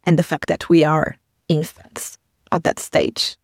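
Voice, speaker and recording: A woman's voice: low-pitched, little pitch movement, a little slow, dry recording, clean studio sound. A woman guest explaining her migrant support service in a reflective interview. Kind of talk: thoughtful interview talk.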